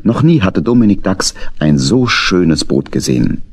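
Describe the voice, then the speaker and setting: A man's voice: low-pitched, big pitch swings, unhurried, a little mellow. A man narrating a scripted children's story as the narrator of an audio play.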